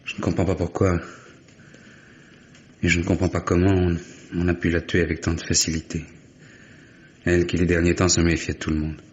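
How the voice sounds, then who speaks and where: A young man acted by a male performer speaks in a very deep, subdued voice, as a bewildered prisoner accused of murder. His delivery is halting and resigned, in fifties radio drama style. The recording is dull, with faint background noise. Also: lightly acted. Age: late 20s. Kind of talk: 1950s French radio drama acting.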